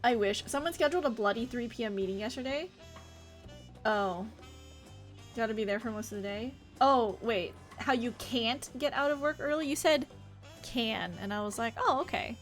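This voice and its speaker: A chatty, expressive woman livestreaming a game in a casual, rambling way and talking to her viewers. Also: fairly high pitch, normal speed, faint music behind. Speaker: live game streamer. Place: indoor home office.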